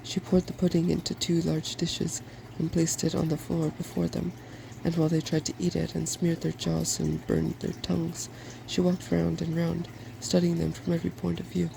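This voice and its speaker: A soft-spoken man reading a fairy tale aloud in ASMR style, in a gentle, measured storytelling manner. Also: fairly high pitch, completely flat delivery, creaky, noisy background. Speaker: storybook reader.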